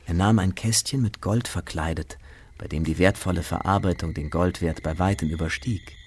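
A man narrating a literary radio drama in a husky voice. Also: deep voice, moderate pace, a little hiss or hum.

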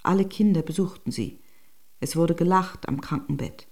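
A daughter-in-law recalls her family in a radio drama monologue. Her female voice sits on the low side, composed and fond, telling anecdotes at an unforced, storytelling gait. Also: moderate pace, creaky, darkish tone. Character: daughter-in-law fondly recounting family memories.

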